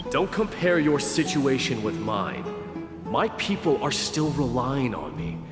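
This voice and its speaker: A man voicing a proud young warrior prince in a video game, haughty, sardonic and deliberate. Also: mid-range voice, big pitch swings. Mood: guarded, sardonic. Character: proud, gruff young warrior crown prince, mocking rival. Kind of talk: anime-style video game voice acting. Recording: echoey hall reverb, music behind.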